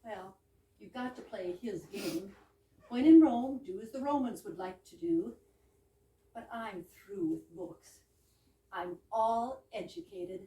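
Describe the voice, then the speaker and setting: A woman's voice: mid-range voice, slow pace, dark tone, close to an everyday voice. A woman playing a playful, teasing younger sister in an amateur staged reading of a 1920s comedy, breezy and mock-grand. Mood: glib, carefree. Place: live reading in a roomy indoor space. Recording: echoey room, distant mic, background noise.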